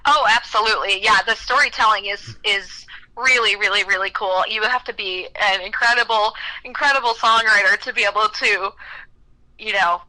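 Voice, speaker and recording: A woman's voice: mid-range voice, normal speed, sharp tone, poor audio. A young woman from Ohio, a country singer, chatting upbeat in a radio interview with lots of "you know". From Cleveland, Ohio. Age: young adult.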